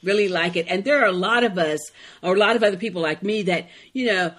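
A woman's voice: medium pitch, fast, fairly bright tone. A woman co-hosting a casual chat, effusive and emphatic, often repeating herself for stress. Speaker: podcast co-host.

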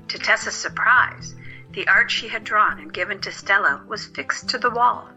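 A woman's voice: medium pitch, bright, forceful tone, highs cut off. A woman narrating a scripted story as the narrator of an audio drama.

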